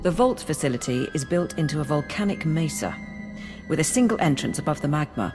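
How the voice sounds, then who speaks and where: An actor playing a deferential Imperial operations officer in a video game, giving a brisk, orderly, businesslike briefing. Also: medium pitch, animated, in a large hall, music in the background, close to an everyday voice.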